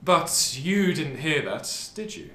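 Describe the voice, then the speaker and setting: A man's voice: a little high, big pitch swings, slow, pushed and bright, heavily acted. A man playing a grieving, determined intelligence agent in earnest, conversational radio drama dialogue. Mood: bitter, subdued. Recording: echoey room.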